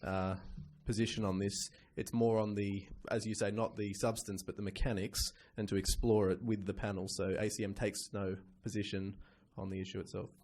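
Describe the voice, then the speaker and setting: A man's voice: lowish voice, level tone, moderate pace, few pauses, a little mellow. A man chairing a conference question session as moderator, courteous and businesslike. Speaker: panel moderator.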